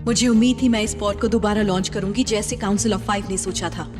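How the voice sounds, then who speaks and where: A woman playing a calm, wise alien mentor in a Hindi-dubbed action cartoon. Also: medium pitch, brisk, music in the background, close to an everyday voice. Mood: calm, earnest.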